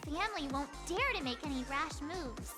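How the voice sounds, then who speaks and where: A woman's voice: high-pitched, expressive, brisk. A woman playing a perky teenage girl adventurer in an anime-style video game. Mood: chatty. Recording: game music loud behind the voice.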